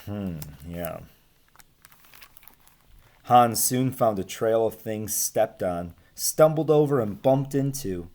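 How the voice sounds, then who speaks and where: A young man reading a children's storybook aloud in an expressive, deliberate way. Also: on the low side. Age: young adult. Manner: animated, deliberate, asks listeners questions. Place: small room with bookshelves.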